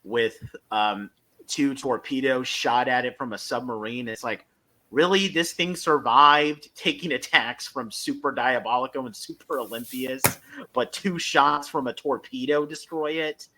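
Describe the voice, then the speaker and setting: A man hosting a casual fan discussion, speaking in halting stretches with emphatic bursts. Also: medium pitch, pushed and bright, slight background noise, low-quality recording. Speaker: podcast host reviewing a fan audio drama.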